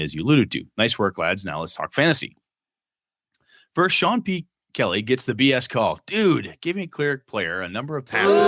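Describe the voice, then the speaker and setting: A man's voice: lowish voice, a bit bright, dry recording, studio quality. A man co-hosting a casual gaming podcast, reading listener letters aloud with jokey asides.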